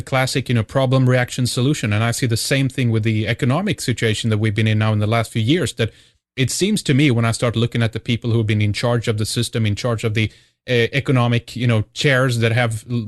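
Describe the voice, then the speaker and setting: Male radio host, mid-pitched, rambling question with restarts, very dry sound. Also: no room sound. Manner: searching, stumbling, repeats words. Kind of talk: interview question.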